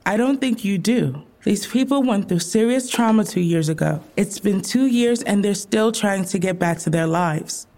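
Casting: woman playing protective group therapist, mid-pitched mellow voice, terse and confrontational, naturalistic radio drama style. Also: normal speed, few pauses, lightly acted. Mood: indignant, protective. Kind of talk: naturalistic audio drama dialogue.

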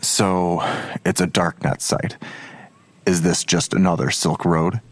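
A man's voice: deep voice, flat delivery, slow pace, husky, full character voice. An actor playing a skeptical police detective in an audio drama, terse and probing in his questions. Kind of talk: naturalistic audio drama dialogue.

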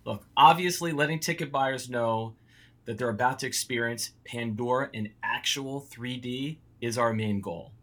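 A man playing a polished marketing executive, delivering an earnest sales pitch in a scripted audio comedy. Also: moderate pace, a bit bright, natural voice. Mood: salesmanlike. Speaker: marketing company founder. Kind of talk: scripted presentation.

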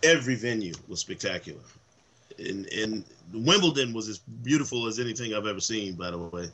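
A man co-hosting a sports podcast, a little hoarse from travel, telling stories enthusiastically in casual chat with lots of fillers. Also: on the low side, brisk. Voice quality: slightly hoarse. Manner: animated, enthusiastic storyteller with many fillers. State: voice worn from travel. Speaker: podcast co-host.

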